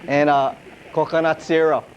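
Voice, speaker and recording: A man's voice: fairly high pitch, flat delivery, normal speed. A man who is a guest on a call-in show, answering playfully with jokes. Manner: playful, joking. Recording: dull lo-fi recording with hiss.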